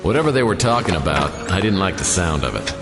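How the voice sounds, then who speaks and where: An actor playing a wisecracking hard-boiled detective who narrates in a dry, sardonic noir style. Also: male voice, deep voice, very animated, fast. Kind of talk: noir radio drama narration. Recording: reverberant with music behind.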